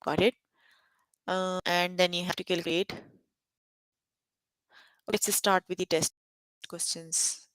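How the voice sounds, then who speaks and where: Low-voiced woman tutoring math online. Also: flat delivery, slow pace, long pauses, fairly bright tone, rough recording. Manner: checks in often with "got it?". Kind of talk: live online math lesson. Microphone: computer mic on an online call.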